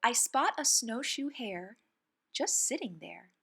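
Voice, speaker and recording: Animated woman reading a children's picture book. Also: on the high side, takes it slowly. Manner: animated, clearly phrased delivery for young children. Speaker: children's story reader and host. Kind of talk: expressive picture-book read-aloud.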